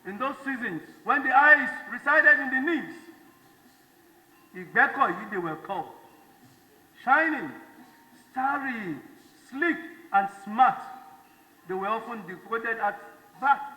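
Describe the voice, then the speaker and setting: A man playing a proud stage chanter, declaiming poetic lines in a measured, emphatic way, word by word. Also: very high voice, bright, forceful tone, full character voice. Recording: echoey hall stage recording.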